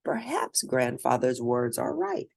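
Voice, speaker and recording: A woman voicing a frightened, wary young girl in a children's storybook, with hushed, timid delivery. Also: very deep voice, huge pitch swings, unhurried, gravelly, dry recording, studio quality, exaggerated voice. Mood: wondering.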